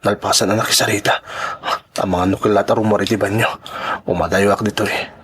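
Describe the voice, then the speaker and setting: A man playing an earnest, suspicious young son and partner in an Ilocano audio drama, with a husky voice. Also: lowish voice, fairly even intonation, fast talker, a bit bright, a little hiss or hum, lightly acted. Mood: uneasy.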